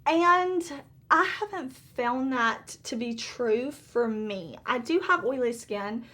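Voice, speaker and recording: Brief: woman, thirties, slightly hoarse, bubbly beauty-vlogger chatter, voice pitched a bit high. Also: animated, takes it slowly, bright, forceful tone. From Southern United States. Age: 30s. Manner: chatty and upbeat, laughs often. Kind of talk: casual get-ready-with-me makeup chat.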